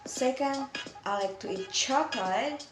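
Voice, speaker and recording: A woman from Indonesia, speaking English as an as she presents a school video, halting and searching for words in simple phrasing. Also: high voice, background noise. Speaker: student. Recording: roomy echo with music behind.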